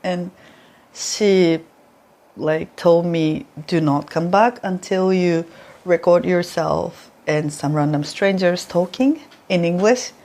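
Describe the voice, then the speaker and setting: A woman's voice: fairly low pitch, slow pace, a little mellow. Playful Japanese woman telling stories. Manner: chatty, laughs often, playful storytelling. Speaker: former reporter, interview guest. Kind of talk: casual interview chat.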